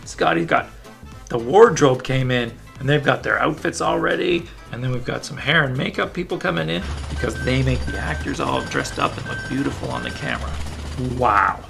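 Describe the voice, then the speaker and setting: A man's voice: medium pitch, huge pitch swings, moderate pace, creaky, fairly bright tone, soft background music. A man reading a children's story aloud in a playful, enthusiastic way, with casual asides to young listeners. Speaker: children's book reader.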